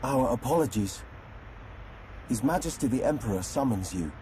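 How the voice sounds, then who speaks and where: An actor playing a courteous, formal imperial attendant in a fantasy video game. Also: male voice, medium pitch, animated, normal speed, dark tone, slight background noise, lightly acted. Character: formal male imperial attendant delivering a summons. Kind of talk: dramatic video game voice acting.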